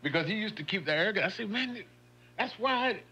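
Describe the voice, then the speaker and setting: A man's voice: sits high, animated, brisk, sharp tone, husky. A man hosting a morning radio show, chatting in a folksy way and laughing often. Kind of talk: casual radio chat. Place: radio studio. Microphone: studio microphone.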